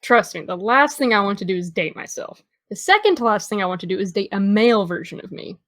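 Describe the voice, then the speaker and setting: A woman's voice: mid-range voice, animated, quick pace, fairly bright tone, no room sound, clean studio sound. A young American woman playing a guarded, dryly witty teenage girl in understated, naturalistic audio drama dialogue. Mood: guarded, wry.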